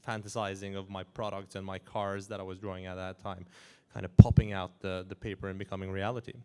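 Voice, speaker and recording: A man in his forties from Iran who grew up in Norway, talking as a guest in an interview in an animated way, chuckling and restarting his sentences. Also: deep voice, fast talker, slight background noise. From Iran, raised in Norway. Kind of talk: casual interview talk.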